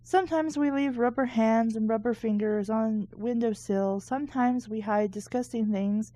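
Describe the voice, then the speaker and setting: A woman's voice: fairly high pitch, normal speed, warm tone, no echo. A woman hosting a book reading show, reading aloud casually and breaking off for sarcastic, critical asides.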